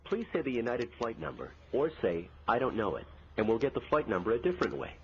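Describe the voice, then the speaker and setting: A man's voice: medium pitch, sing-song, quick pace, lightly acted. A man voicing a friendly, patient automated airline phone agent in conversational scripted prompts. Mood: helpful. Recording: dull lo-fi phone demo, noisy.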